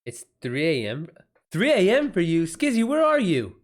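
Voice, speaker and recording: A man's voice: sits high, wildly swooping pitch, moderate pace. Casual, slangy man chatting on a livestream. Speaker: live streamer and author answering viewer questions.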